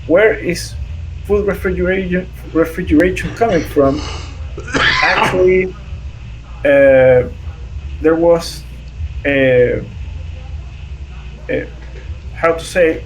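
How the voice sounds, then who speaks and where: Halting man with a higher voice. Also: a little hiss or hum, low-quality recording. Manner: halting, explains with many fillers and "right?" checks. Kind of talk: casual explanatory talk.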